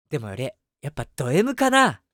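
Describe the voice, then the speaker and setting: An eager, lovestruck young man played by a male actor tells his story straight to the listener in a voice drama. His delivery is very lively in pitch, chatty and self-deprecating, with a submissive puppyish temper. The sound is dry and close. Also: no echo, full character voice. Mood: nervous, giddy. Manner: chatty, rambling, self-mocking. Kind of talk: situation voice drama, first-person monologue to the listener.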